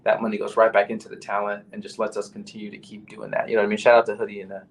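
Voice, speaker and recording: A man's voice: on the low side, completely flat delivery, racing, vocal fry. A man with a nasal voice, a battle rapper talking casually as a podcast guest, full of "you know" and "like".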